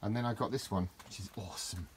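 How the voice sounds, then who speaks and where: Rambling man, low voice, outdoors. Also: fairly even intonation, fast, noisy background. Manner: rambling, with many restarts and fillers. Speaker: DIY vlogger. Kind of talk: casual hands-on demonstration. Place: outdoors in a garden.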